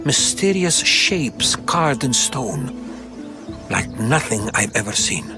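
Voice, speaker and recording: An actor playing a learned, secretive older Greek historian in a video game, speaking in a measured storytelling way. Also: male voice, mid-range voice, very animated. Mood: solemn, reflective. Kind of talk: dramatic video game dialogue. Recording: game music under the voice.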